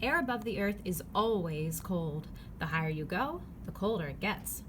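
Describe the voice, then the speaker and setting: Lively woman reading a children's book aloud. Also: lowish voice, normal speed. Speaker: read-aloud narrator. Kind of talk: children's picture-book read-aloud, scripted. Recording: clearly noisy background ambience.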